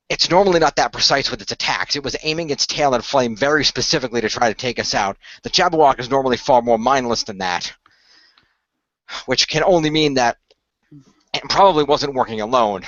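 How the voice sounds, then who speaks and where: A man playing an earnest team leader who explains things in a measured way in a radio play, on dull audio. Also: medium pitch, a bit bright, dry recording, natural voice. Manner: measured, expository, sighing.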